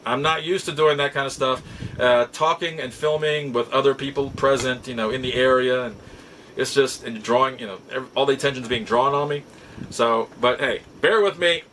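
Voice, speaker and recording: A man's voice: medium pitch, moderate pace, fairly bright tone, a little hiss or hum, poor audio. A middle-aged man hosting a hobby show, telling stories casually to viewers in an exasperated, emphatic way and repeating phrases for stress. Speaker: host of a nostalgia and toy collecting show. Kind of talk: casual rambling storytelling to viewers.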